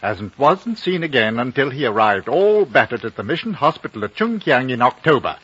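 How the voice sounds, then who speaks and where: Earnest seasoned traveller for vintage radio drama: husky, lively intonation, precise storytelling, old broadcast sound. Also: male voice, mid-range voice, normal speed. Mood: serious. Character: earnest well-travelled man recounting a mysterious search. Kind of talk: 1950s radio drama dialogue. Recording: dull, band-limited 1950 radio broadcast recording.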